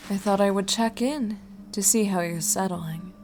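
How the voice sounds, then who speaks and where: A woman's voice: mid-range voice, a little mellow, soft background music, lightly acted. A woman playing a cold, menacing mercenary boss in an audio drama, condescending and mockingly polite. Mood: mocking, smooth. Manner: deliberate, condescending, breathy at times.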